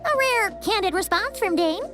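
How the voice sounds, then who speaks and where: A woman voicing a small, chirpy, cheeky fairy companion with a childlike, chatty, expressive manner in a video game. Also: extremely high pitch, normal speed, over music. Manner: chatty, expressive, refers to self by name.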